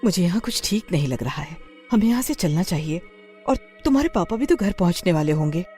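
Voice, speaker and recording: Woman acting anxious mother, story character, pleading, fretful, pitched up, animated, music behind. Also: fairly quick. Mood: worried. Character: anxious, protective young mother of two.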